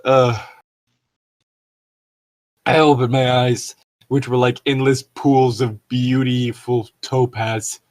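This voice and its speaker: A man's voice: mid-range voice, flat delivery, slow, long pauses, a little mellow. A man reading aloud for comedy and adding wry, mocking asides in casual banter. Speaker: co-host reading and commenting.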